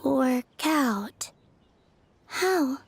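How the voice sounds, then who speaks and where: A woman voicing a gentle, wistful young maiden in a video game, speaking softly and earnestly with some breathiness. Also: high voice, animated, very slow, vocal fry, exaggerated voice. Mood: trusting.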